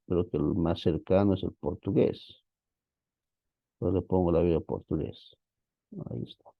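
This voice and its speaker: A man teaching an online class, walking through study software on screen while thinking aloud, often tagging his sentences with a questioning "right?". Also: low voice, creaky, breathy edge, no echo, phone-quality sound. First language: Spanish. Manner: casual, thinking aloud, frequent "¿no?" tags. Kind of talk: screen-share software walkthrough.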